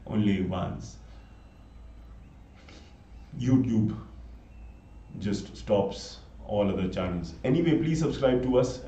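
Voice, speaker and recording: An Indian-accented man with a low, mellow voice calls a cricket match on his own, chatty and prone to sudden excited outbursts. He sits back from the mic in an echoey room, and the sound is dull and lo-fi. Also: distant mic, a little hiss or hum. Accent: Indian English. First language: Hindi. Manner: chatty, pleading for subscriptions, sudden excited exclamations. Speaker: live cricket commentator. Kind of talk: solo live cricket commentary. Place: home room, bundled up against the cold.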